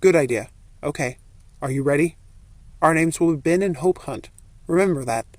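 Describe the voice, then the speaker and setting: Storybook young husband, played by a woman narrator: pitched down, practical and guarded, close dry sound. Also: moderate pace, darkish tone, no echo. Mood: cautious, businesslike. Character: cautious, practical young man posing as a husband.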